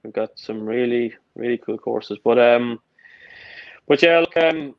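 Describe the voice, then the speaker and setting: A man's voice: medium pitch, a little slow, dry recording. A man in his thirties with an Irish accent, talking casually over a video call as an interview guest, with lots of "look" and "yeah" fillers and restarts. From Ireland. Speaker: guest, golf company founder. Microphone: video call, built-in mic. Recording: dull lo-fi call audio.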